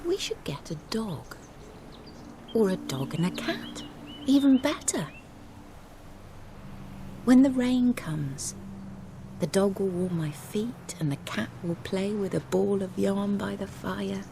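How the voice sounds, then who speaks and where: A dreamy young wife, with lively swings of pitch and a creaky edge, eager for attention. Fantasy game dialogue, distant, with noisy ambience. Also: female voice, takes it slowly, long pauses. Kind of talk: video game voice acting.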